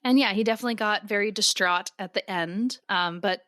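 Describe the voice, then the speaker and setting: A woman's voice: mid-range voice, fairly quick, fairly bright tone, dry recording, studio quality. A woman co-hosting a podcast, recapping casually in a colloquial, playful and giggly way. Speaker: podcast co-host. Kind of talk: casual chat, comic recap.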